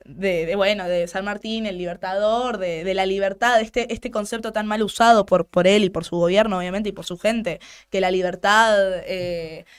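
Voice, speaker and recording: A woman's voice: medium pitch, steady tone, moderate pace, clear, resonant tone. A young woman with an Argentine accent hosting a radio talk show, giving outspoken political commentary and often repeating words as she restarts. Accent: Argentine Spanish. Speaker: radio host. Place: radio studio.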